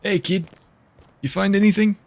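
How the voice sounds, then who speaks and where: A senior male detective in a sci-fi audio drama, played by a man. He speaks in a measured, mentoring way, reasoning through each point. His voice has a noticeable gravelly fry. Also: high voice, fairly even intonation.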